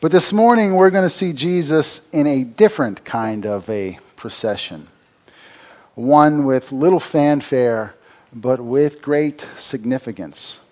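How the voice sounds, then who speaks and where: A man preaching to his congregation in an easy, conversational way. He makes announcements and then moves into the sermon, tossing out rhetorical questions as he goes. His voice sits at an ordinary middle pitch. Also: takes it slowly, a little mellow. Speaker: pastor.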